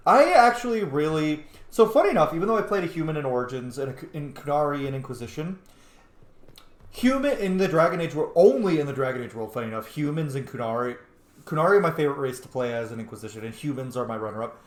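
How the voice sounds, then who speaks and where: Male gaming host, chatty and opinionated, slightly high-pitched, recorded with noticeable room echo. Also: animated, fast talker. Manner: opinionated, chatty fan enthusiasm. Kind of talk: casual let's-play commentary.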